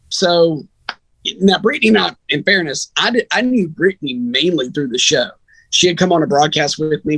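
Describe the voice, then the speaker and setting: Bright-voiced man chatting about sports. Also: medium pitch, no room sound, poor audio. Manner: conversational, anecdotal, rambling. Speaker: guest, sports radio announcer. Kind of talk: casual sports chat and storytelling.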